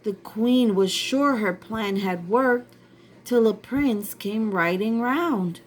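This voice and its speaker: Slow-paced woman reading a children's story. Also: a little high, rough recording. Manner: addresses young listeners directly, teacherly. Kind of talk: read-aloud children's story.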